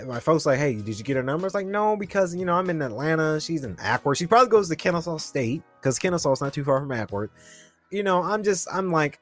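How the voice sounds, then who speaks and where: Chatty young man hosting a podcast. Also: fairly high pitch. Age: 20s. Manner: rambling, chatty, addresses listeners directly. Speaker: podcast host. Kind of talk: casual solo podcast storytelling.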